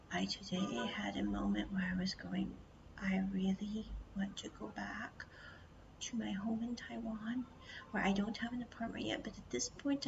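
A woman from the United States telling a rambling travel story, mostly in a hushed whisper, chatty and full of "I was like". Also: medium pitch. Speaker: vlogger. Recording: dull lo-fi audio with clear background noise.

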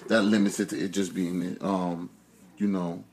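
Gravelly laid-back young man. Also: low voice, level tone, unhurried, noisy background. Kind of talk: casual chat.

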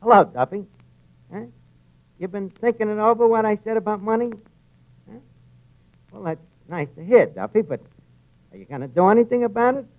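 A man's voice: sits high, animated, a little fast, pauses often. An actor playing a tough-talking, sentimental male tavern manager in a 1940s radio comedy. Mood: indignant. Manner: streetwise, mangles grand sentiments. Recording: muffled vintage radio broadcast recording.

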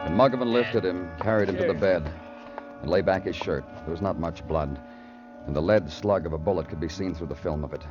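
An actor playing a brooding, poetic hard-boiled police detective in a measured, solemn radio crime drama style. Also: male voice, low voice, close to an everyday voice. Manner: measured, solemn, literary delivery. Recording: dull old radio recording with music.

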